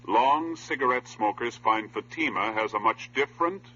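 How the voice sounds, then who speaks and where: A man with an American accent announcing in a formal, crisp, authoritative 1950s radio style. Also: medium pitch, animated, moderate pace, sharp tone, slight background noise. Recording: muffled old radio broadcast recording.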